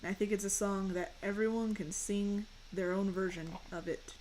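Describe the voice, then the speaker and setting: Chatty young woman with a deep voice. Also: steady tone, moderate pace, a little mellow, slight background noise, rough recording. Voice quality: fairly deep voice. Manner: chatty, rambling, answers chat questions. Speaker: streamer. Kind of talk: casual chat while gaming.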